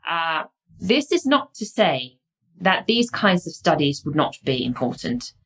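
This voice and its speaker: Finnish accented woman lecturing, low voice, lots of ums and restarts, thoughtful. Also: big pitch swings, husky. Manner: thoughtful, often halting with fillers and restarts. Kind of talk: explaining lecture.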